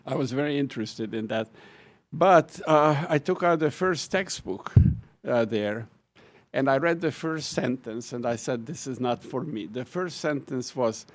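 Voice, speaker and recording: A man's voice: mid-range voice, measured pace. An older man from Hungary with a Hungarian accent, a retiring professor telling wry, self-deprecating stories about his life to a lecture audience. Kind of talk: personal reminiscence at a lecture event.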